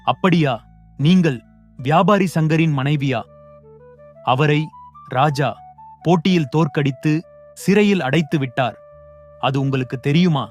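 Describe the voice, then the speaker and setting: A helpful cartoon innkeeper, voiced by a man, sits a little high for a man and speaks respectfully to a guest. His manner is warm and reassuring, and he is eager to help. Soft music runs underneath. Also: measured pace, pauses often, lightly acted. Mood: polite, helpful. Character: kindly adult male innkeeper, helpful. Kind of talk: cartoon voice acting.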